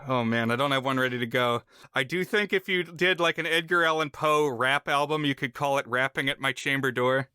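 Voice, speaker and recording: Bright, crisp-voiced man recapping a film. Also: medium pitch, brisk, few pauses, no echo. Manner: wry, dry humor. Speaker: podcast co-host. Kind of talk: casual chat, recapping a film.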